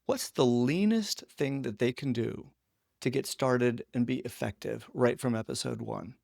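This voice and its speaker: A man interviews a guest in a conversational podcast style. His mid-pitched voice has plenty of creak and fry, and it sounds close and dry. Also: a little slow, darkish tone, no echo.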